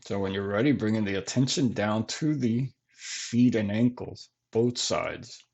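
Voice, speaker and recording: Slow, low-pitched man guiding meditation. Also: creaky, husky. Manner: gentle, measured instruction with long pauses. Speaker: meditation teacher. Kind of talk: guided body scan meditation.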